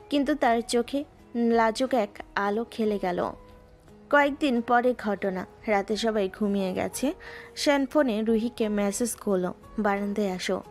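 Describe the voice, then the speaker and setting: A woman's voice: fairly high pitch, darkish tone. Female narrator telling a romance over music. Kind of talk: scripted storytelling narration. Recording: music underneath.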